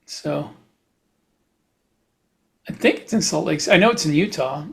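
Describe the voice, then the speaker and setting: A man co-hosting a podcast in casual chat, talking in a halting, rambling way. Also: fairly high pitch, lively intonation, vocal fry, husky. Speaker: podcast host; writer.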